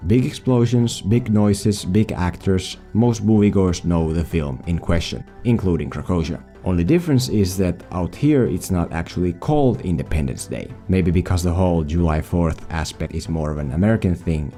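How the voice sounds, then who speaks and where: A man's voice: low voice, lively intonation, moderate pace, dark tone, soft background music. A man who is not a native English speaker, giving sarcastic, mock-dramatic scripted commentary as a film video host. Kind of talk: scripted comedic voice-over commentary.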